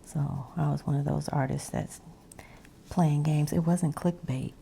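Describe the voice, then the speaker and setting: A woman ASMR creator rambling in a breathy whisper mixed with soft, hushed speech, meandering as she goes. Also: sits low, fairly even intonation, a little slow, a little hiss or hum.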